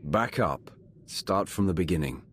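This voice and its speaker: A sardonic, imperious Sith enforcer from a video game. The voice sits extremely low and has a rough husk to it. He talks bluntly, like someone used to giving orders. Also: expressive, unhurried, a bit bright, full character voice. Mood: stern command. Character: commanding, sardonic male Sith lord and enforcer.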